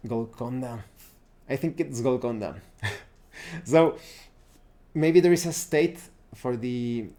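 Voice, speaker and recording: A man hosting a video in a casual, unscripted explanatory style, often halting and correcting himself as he goes. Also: mid-range voice, warm tone. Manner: halting, self-correcting delivery. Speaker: YouTube host reviewing roleplaying games.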